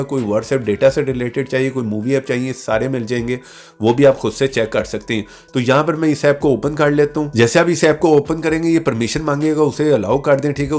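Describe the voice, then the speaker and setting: A man presents a phone app tutorial at an ordinary middle pitch. He works through the instructions step by step as the host of a tips channel, dropping in small filler tags as he goes.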